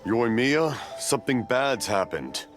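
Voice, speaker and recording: A man's voice: mid-range voice, big pitch swings, unhurried, faint music behind. A man playing a kindly, fretful old father in a video game. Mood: alarmed.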